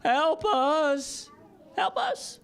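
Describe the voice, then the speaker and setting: A man's voice: very high-pitched, big pitch swings, drawn-out words, creaky, a bit bright, full character voice. A man playing a worried, eager little toy train in a children's audio theater show. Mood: hopeful, pleading. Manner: chanting train sounds, repeating cries for help.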